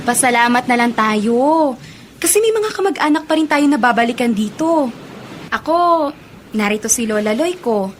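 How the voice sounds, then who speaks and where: Woman acting young Filipina student, high voice, sweet, expressive, radio drama, ambient noise behind. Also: normal speed, pauses often, natural voice. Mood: happy, breezy. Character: sweet young female student, affectionate granddaughter.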